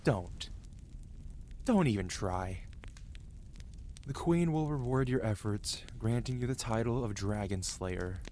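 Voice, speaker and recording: A man's voice: fairly low pitch, takes it slowly, long pauses, darkish tone, a little hiss or hum. A man voicing an angry yet protective dragon who scolds a rider in a dramatic, emotional fantasy ASMR roleplay. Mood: stern, anguished.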